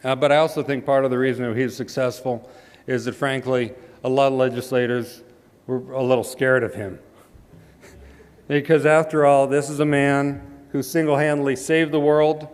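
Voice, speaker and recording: A man's voice: medium pitch, clear, resonant tone, long reverb tail. A man introducing the main speaker at an event, with dry humor and frequent hesitant fillers. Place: large hall.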